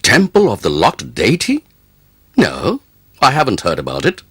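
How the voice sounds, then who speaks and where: An actor playing a proud, devout, easily angered Mughal emperor in an animated moral story, speaking in an imperious, declamatory way. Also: male voice, mid-range voice, unhurried, husky, dry recording, studio quality, heavily acted. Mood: curious, interested.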